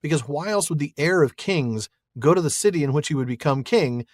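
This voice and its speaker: Husky-voiced man co-hosting a podcast. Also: a little high, expressive, a little fast, a little mellow, dry recording, clean studio sound. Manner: chuckling, easygoing, reasoning aloud. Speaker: podcast co-host. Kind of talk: casual chat reading listener mail.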